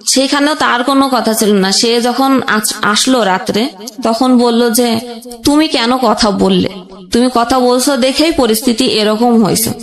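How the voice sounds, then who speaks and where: A young woman with a Bangladeshi accent telling her own life story conversationally, in a halting, plain way with frequent rephrasing. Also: fairly high pitch. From Jashore region, Bangladesh. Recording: roomy echoing sound.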